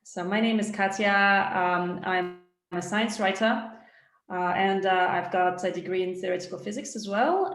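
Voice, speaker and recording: A woman's voice: on the low side, vocal fry. A woman science writer giving an informal, colloquial webinar talk from a room over a video call. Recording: roomy echo on video call.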